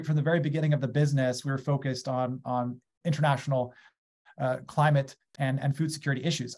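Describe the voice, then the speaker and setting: A man's voice: fairly high pitch, darkish tone. A man in his thirties with an American accent, speaking as an invited guest on a webinar, enthusiastic and gesturing as he talks over a video call from a small room. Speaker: guest speaker, agriculture company founder. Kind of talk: conversational webinar talk. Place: small room at home, indoors. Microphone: webcam on a video call.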